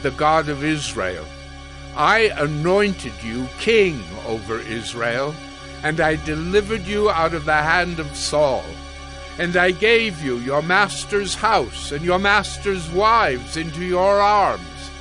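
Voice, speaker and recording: A man playing a stern, solemn prophet who declaims God's judgment deliberately in an audio Bible drama. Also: fairly high pitch, expressive, music in the background. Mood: stern, grave.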